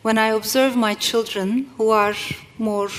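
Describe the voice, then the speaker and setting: A woman's voice: on the high side, fairly even intonation. A middle-aged woman author whose first language is not English, speaking thoughtfully at a live event and reading from her novel. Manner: reflective, with measured pauses and occasional restarts. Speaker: author and guest.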